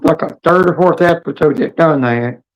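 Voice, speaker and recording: A woman with a hoarse, raspy voice hosting a casual livestream chat in a rambling, halting, blunt way. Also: sits low, rough recording. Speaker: livestream host.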